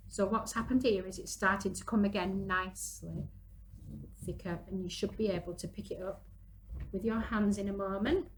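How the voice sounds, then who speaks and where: A woman's voice: medium pitch, slight background noise, low-quality recording. A woman in her thirties chatting instructively through a hands-on activity for parents and young children, working as she talks. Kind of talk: casual craft demonstration for parents and young children.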